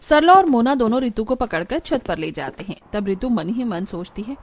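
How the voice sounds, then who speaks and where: Fairly high-voiced woman narrating a story. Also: expressive, normal speed. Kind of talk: scripted cartoon story narration.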